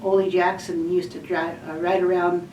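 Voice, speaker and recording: A woman in her forties from Washington State speaks in a fairly low, flat voice, stepping through a list with frequent ums. She sounds distant from the mic, in a roomy, echoing space with faint ambience behind her. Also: normal speed, muffled recording, a little hiss or hum. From Washington State, USA. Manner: hesitant, many ums, list-like repetition. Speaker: student speaker. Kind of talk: personal "I am from" recitation.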